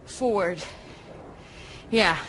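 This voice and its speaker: A woman's voice: mid-range voice, very slow, a bit bright. Cool, sardonic tough woman, faint noise behind. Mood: tense, clipped. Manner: clipped, wry. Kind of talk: radio drama acting.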